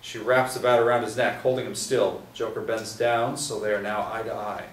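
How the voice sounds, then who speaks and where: A man with a lowish voice reads stage directions in a steady way, stumbling a little now and then. He sounds like he is in an echoey room, some distance from the mic, with faint hiss behind him. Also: normal speed. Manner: steady, with small restarts. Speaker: script narrator. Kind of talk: reading stage directions aloud.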